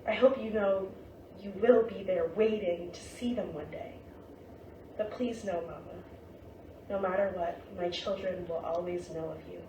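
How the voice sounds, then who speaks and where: A woman's voice: mid-range voice, fairly even intonation, unhurried, lightly acted. A woman acting a hopeful, devoted young woman of the 1920s, reading out a letter in a staged play. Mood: tender, hopeful. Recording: roomy distant stage recording, faint noise.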